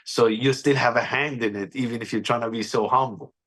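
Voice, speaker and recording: A man's voice: medium pitch, steady tone, brisk, fairly bright tone. A man from Egypt interviewing a film director, effusive with praise and loose in his phrasing. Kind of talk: enthusiastic interview questions.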